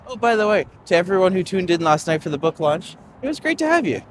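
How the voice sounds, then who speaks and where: A man's voice: high voice, sing-song, fast talker, slight background noise. A young man hosting a live-streamed walking tour outdoors on a city street, rambling and chatty with lots of fillers, recorded on a phone.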